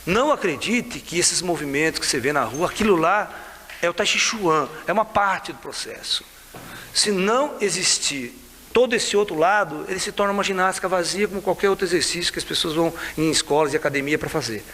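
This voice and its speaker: A man's voice: sits high, brisk. A man in his sixties with a Brazilian Portuguese accent, a tai chi teacher telling stories in a casual way, laughing often and checking in with his listeners. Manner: lively, laughs often, keeps asking "né?" and "sabe?". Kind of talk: casual storytelling to camera. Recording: reverberant room with faint hiss.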